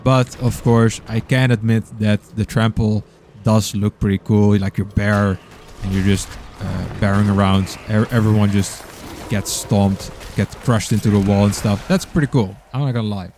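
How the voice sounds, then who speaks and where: A man's voice: lowish voice, steady tone, moderate pace, a little mellow, a little hiss or hum. A man with a Dutch accent giving casual gameplay commentary as a streamer, rambling and sometimes searching for words. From Netherlands. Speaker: game streamer.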